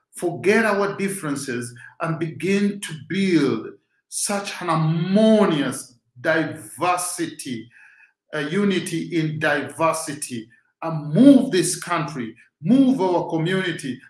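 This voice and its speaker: A man's voice: high-pitched, reverberant, low-quality recording. A Kenyan man with a Swahili-speaking background, giving a rousing, preacher-like motivational talk as host.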